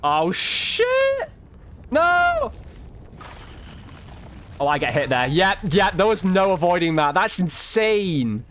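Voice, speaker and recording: A man's voice: high-pitched, wildly swooping pitch, takes it slowly, pauses often, fairly bright tone, a little hiss or hum. A man giving casual, reactive running commentary while playing a video game. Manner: reactive, exclamatory, slangy. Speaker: video game streamer, YouTube host. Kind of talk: live gameplay commentary, casual chat.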